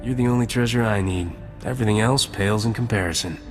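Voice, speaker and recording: Game casting: actor as roguish romantic male smuggler, low voice, cocky and suave, score underneath. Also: fast talker, over music, natural voice. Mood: suave, flirtatious. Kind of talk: video game cutscene acting.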